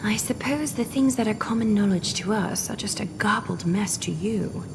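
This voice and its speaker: A woman voicing a composed, noble female leader in a fantasy game, speaking formally and with measured, gentle authority. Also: medium pitch, creaky, noisy background, close to an everyday voice. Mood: calm, probing.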